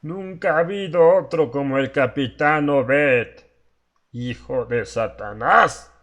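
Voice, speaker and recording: Man acting a slow-talking, bitter drunken old man. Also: medium pitch, fairly bright tone, dull audio. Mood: bitter, confiding. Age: older. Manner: slow, ominous, confiding. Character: drunken, bitter old local man spinning dark tales. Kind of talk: Spanish horror radio drama acting.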